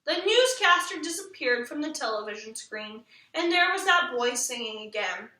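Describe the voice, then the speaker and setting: A woman's voice: sits high, big pitch swings, normal speed, sharp tone. A woman narrating a children's story expressively, as a storybook reader. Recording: echoey room, distant microphone.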